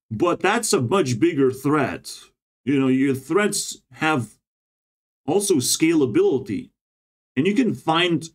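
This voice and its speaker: A man in a rambling casual monologue who swears often, ends many phrases with "bro", and keeps jumping between high and low pitch. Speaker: vlogger.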